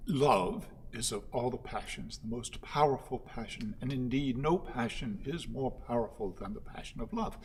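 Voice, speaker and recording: An actor playing a pompous, servile orator in a radio play, declaiming in formal, repetitive phrases. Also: male voice, mid-range voice, moderate pace, few pauses, lightly acted, low-quality recording. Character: Joe, pompous servile orator lecturing his lord.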